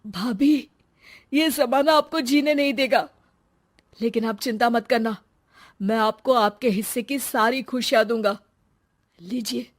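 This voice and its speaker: A woman voicing an earnest, protective college-age young man in an animated moral story. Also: on the high side.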